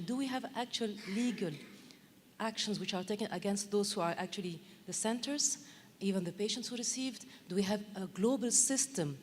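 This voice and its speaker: A woman's voice: medium pitch, darkish tone. A woman commenting and asking questions from the floor of a conference, in an emphatic, insistent way. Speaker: audience member commenting at a conference. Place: large conference hall. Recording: reverberant hall, faint background noise.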